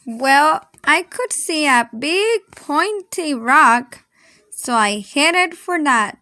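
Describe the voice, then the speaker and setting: Woman, children's read-aloud, high voice, bright tone, dry close sound, dramatic storytelling. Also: expressive, very slow, dry recording. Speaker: storybook reader.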